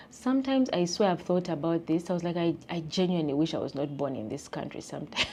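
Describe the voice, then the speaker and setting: Young Ugandan woman vlogger, slightly low voice, animated and ranting, does squeaky impressions. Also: normal speed. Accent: Ugandan English. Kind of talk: casual chat rant to camera.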